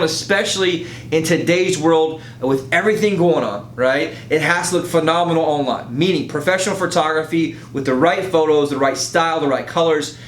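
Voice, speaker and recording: A man working as a real estate agent makes an informal, emphatic and persuasive sales pitch, often checking in with a rhetorical "right?". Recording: roomy echo, faint low rumble.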